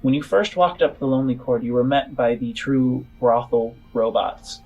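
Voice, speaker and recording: A man's voice: medium pitch, moderate pace, a little mellow, husky, lo-fi sound. Man narrating a tabletop game over music. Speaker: game master. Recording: music behind the voice.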